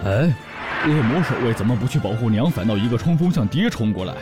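An eager young orc husband voiced by a man talks in a mid-pitched, very animated voice, placating and commenting as things unfold. Music sits clearly behind the audio drama. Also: fast talker, lightly acted. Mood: amazed.

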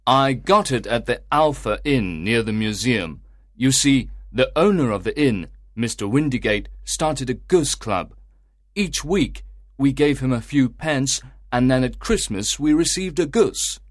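A man voicing a polite, excitable, grateful gentleman with a deferential, effusive manner in a storybook reading. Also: mid-range voice, unhurried, no room sound, clean studio sound, pitched above the performer's own voice. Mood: obliging. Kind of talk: acted audiobook dialogue.

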